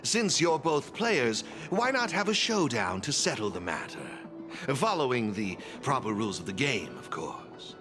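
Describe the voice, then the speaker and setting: An actor playing a flamboyant masked gentleman in a video game, formal, courteous and theatrical, like a showman. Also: medium pitch, big pitch swings, measured pace. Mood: suave. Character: flamboyant masked gentleman, smooth event sponsor and gaming enthusiast. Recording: background music throughout.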